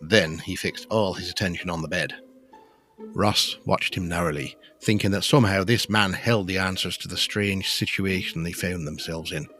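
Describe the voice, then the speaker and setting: Low-voiced male story narrator. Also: steady tone, normal speed, pushed and bright, faint music behind. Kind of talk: scripted dramatic story narration.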